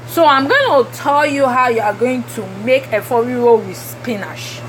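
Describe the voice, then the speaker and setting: A Nigerian woman whose first language is Yoruba, talking through a cooking tutorial in a halting way with many fillers. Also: sits high, lively intonation. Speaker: cooking vlogger. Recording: background noise.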